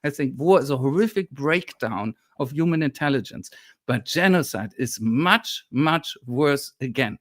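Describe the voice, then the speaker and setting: A man's voice: mid-range voice, animated, a little slow, dry recording, studio quality. A man with a German accent, speaking as a historian guest in a political discussion, emphatic and lecturing, at times indignant.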